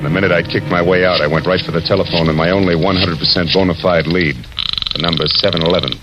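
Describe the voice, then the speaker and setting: A man acting as a hard-boiled American private eye from an old radio drama. His voice is low, his delivery clipped, wry and world-weary. The sound is muffled and vintage, with music behind it. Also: steady tone, quick pace, natural voice. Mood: wry narrating. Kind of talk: 1940s radio noir acting, narration and dialogue.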